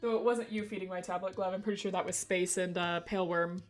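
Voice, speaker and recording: A woman streamer with a slightly hoarse voice, chatting casually and giggling as she talks to her chat. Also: on the low side, steady tone, quick pace, soft background music.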